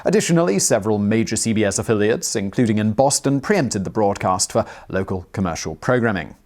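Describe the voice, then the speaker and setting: A man's voice: fairly low pitch, lively intonation, fairly quick, darkish tone. Man narrating a scripted history explainer.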